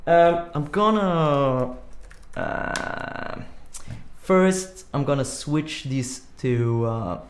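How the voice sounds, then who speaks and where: A man's voice: a little high, drawn-out words, slight background noise. A man hosting a live coding stream, chatting casually and rambling with lots of filler words. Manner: rambling, many "like" fillers, laughs easily. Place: home room. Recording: echoey room.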